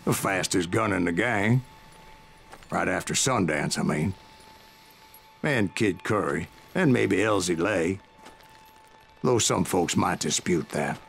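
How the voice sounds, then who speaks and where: An actor playing a grizzled old American bounty hunter in a Western game, telling boastful tales in a folksy, gravelly voice. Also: male voice, deep voice, animated, slow pace, long pauses, fairly bright tone, faint music behind, full character voice. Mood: wry reminiscing. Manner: folksy, boastful storyteller drawl. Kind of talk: dramatic Western game narration.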